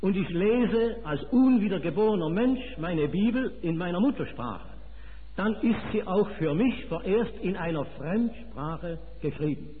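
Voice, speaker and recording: Swiss German male preacher, a bit high-voiced, emphatic, lo-fi hall recording. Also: lively intonation, a little slow, a little hiss or hum. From Switzerland. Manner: emphatic, rising and falling delivery. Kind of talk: sermon. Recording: phone-like, reverberant hall.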